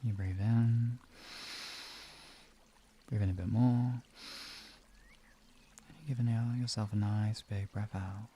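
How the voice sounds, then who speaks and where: A man streaming guided relaxation and talking to viewers in a soothing, gentle, instructional manner. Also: fairly low pitch, fairly even intonation, slow, long pauses, highs cut off, slight background noise.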